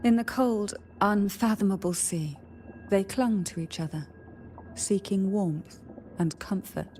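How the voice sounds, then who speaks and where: A mysterious female game narrator, played by an actress, speaks with measured, enigmatic poise. Her tone is soft and mellow, the delivery very slow, with faint music behind her. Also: medium pitch, lightly acted. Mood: hushed storytelling.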